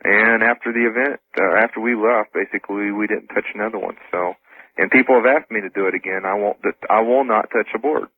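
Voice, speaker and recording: A man's voice: on the low side, fairly even intonation, a little fast. A man talking casually as a radio guest, rephrasing himself as he goes. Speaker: interview guest. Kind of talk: casual radio interview talk. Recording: roomy, phone-like radio line.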